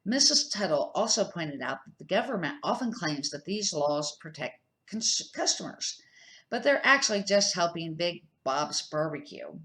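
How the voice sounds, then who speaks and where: An older woman with a somewhat low-pitched voice reads a children's storybook aloud. She sounds like a grandmother and an amateur reader, sometimes tripping on words and correcting herself. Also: sing-song, moderate pace, fairly bright tone.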